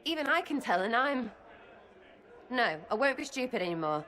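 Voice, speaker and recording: A woman's voice: on the high side, very animated, normal speed, fairly bright tone. An actress playing a cheeky, scrappy young woman rogue in a video game, speaking bluntly and slangily in rambling sentences. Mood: nervous, earnest.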